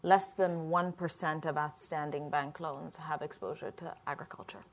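A middle-aged woman from Ethiopia, a company founder answering questions on a panel in a relaxed, reflective way. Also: low-pitched, moderate pace.

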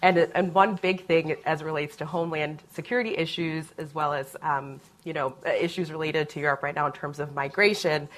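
A woman's voice: low-pitched, steady tone, brisk, hardly any pauses. A woman speaking as a panelist, explaining her views earnestly in a discussion. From Germany, Luxembourg and Michigan.

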